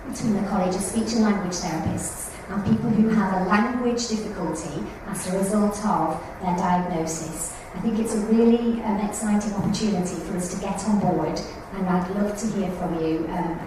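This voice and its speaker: A woman facilitating a support group meeting, speaking in a measured way with plenty of pauses and ums. She sits in the middle range for pitch. The recording is distant and very echoey, with clear room noise underneath. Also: fairly even intonation. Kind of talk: meeting announcements and speaker introduction. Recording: echoey room, far mic, noisy.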